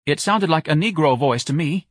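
A man voicing a timid, flustered male office manager with a shrill, quavering voice in an audiobook. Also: animated, normal speed, no room sound, studio quality. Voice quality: shrill, piping. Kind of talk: audiobook character voice.

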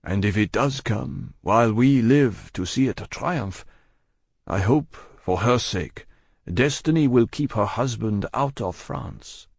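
A man voicing an anxious French tavern-keeper in an audiobook, speaking low and husky in a hesitant, pleading manner. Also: takes it slowly, dry recording, studio quality, full character voice. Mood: uneasy, hushed.

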